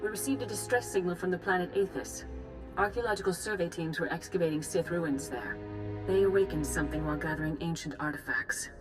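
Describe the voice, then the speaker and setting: A woman's voice: on the low side, brisk, music in the background, natural voice. A woman playing a grave Jedi grand master in a video game, formal, composed and authoritative. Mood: grave authority.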